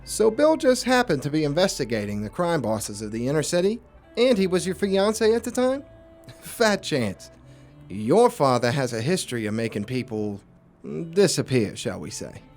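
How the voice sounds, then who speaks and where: A man's voice: on the high side, big pitch swings, moderate pace, darkish tone. A man playing a hard-boiled, world-weary 1940s private investigator in a noir radio drama, with a wry, brooding manner. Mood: wry, guarded. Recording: roomy, distant microphone, music underneath.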